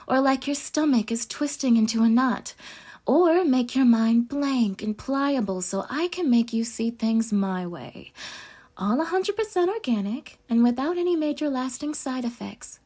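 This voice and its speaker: Woman acting a breathy, creaky, possessive yandere girlfriend. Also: fairly high pitch, a little mellow. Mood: sweetly threatening. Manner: cooing sweetness sliding into breathy threatening near-whispers. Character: sweetly menacing, possessive yandere girlfriend who cooks. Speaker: audio roleplay performer. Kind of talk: intimate ASMR roleplay acting.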